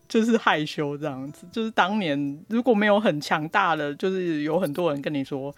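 A woman's voice: deep voice, normal speed, dry recording. A woman with a Taiwanese Mandarin accent chatting casually as a podcast guest, self-deprecating and jokey, repeating words for emphasis. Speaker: guest, self-publishing writer.

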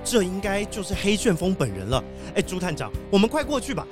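Man as an eager, high-voiced wolf sheriff. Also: fairly quick, music in the background. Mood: urgent, determined. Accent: Taiwanese Mandarin. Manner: polite, animated, explanatory. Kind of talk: children's detective radio play acting.

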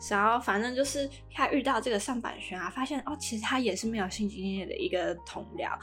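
A woman's voice: a little high, quick pace, fairly bright tone, soft background music. A woman with a Taiwanese Mandarin accent co-hosting a podcast, casually recapping a drama in a chatty, explanatory way. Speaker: podcast co-host.

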